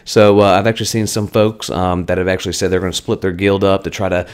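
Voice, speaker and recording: Deep-voiced guy doing his weekly game news, kinda hoarse, chatty. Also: little pitch movement, fast. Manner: chatty, conversational. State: slightly hoarse. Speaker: gaming channel host.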